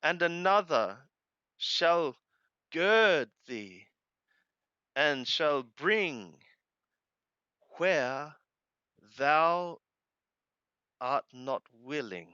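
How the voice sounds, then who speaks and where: A man reads scripture aloud and translates it in short, literal phrases. His delivery is extremely slow and emphatic, with very lively swings in pitch. The voice is clean and resonant, with halting, deliberate phrasing. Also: clear, resonant tone, dry recording, highs cut off. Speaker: Bible reader and translator.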